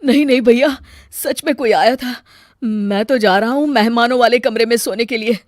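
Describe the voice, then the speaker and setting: A woman voicing an excitable young husband in an animated story. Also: fairly high pitch, normal speed, no room sound, studio quality, lightly acted. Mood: frightened. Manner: loud, excitable. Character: young husband, cheerful then frightened.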